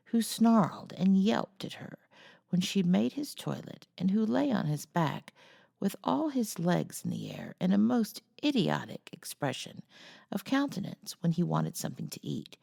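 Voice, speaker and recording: A woman reading a classic novel aloud as a storyteller, with a creaky voice and a measured, cozy delivery. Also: fairly low pitch, dark tone. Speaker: storyteller, host of a read-aloud series.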